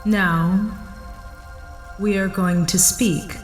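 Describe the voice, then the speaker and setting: An imperious alien intelligence using a borrowed human voice. It speaks slowly in stilted, declarative pronouncements that allow no argument. The voice rings in a roomy echo over faint music, as in a science fiction audio drama. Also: female voice, lowish voice, fairly even intonation. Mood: cold, commanding. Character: alien entity speaking through a possessed woman, imperious, unyielding.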